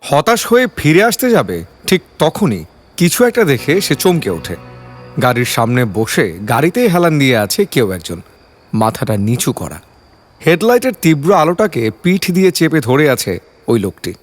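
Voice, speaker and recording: A man's voice: fairly high pitch, very animated, moderate pace, faint music behind. A man narrating a scripted detective story in a dramatic, suspenseful storytelling style.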